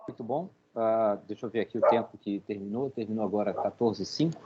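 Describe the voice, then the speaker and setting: A man's voice: on the low side, fairly quick, noisy background. A middle-aged man with a Brazilian Portuguese accent, a professor giving informal feedback in a hesitant, explanatory way on muffled audio.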